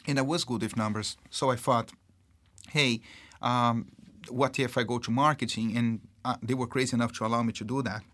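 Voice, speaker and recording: A man from Brazil with a Brazilian accent, a marketing executive speaking as an interview guest in a halting way, full of fillers and restarts. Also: mid-range voice, fairly bright tone. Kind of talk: casual interview talk.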